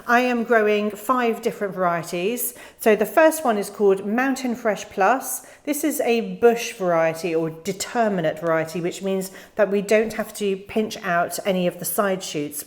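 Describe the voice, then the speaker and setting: Chatty British woman explaining gardening. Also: medium pitch. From United Kingdom. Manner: friendly, chatty, with fillers and light laughs. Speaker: gardening channel host. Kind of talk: casual instructional voice-over.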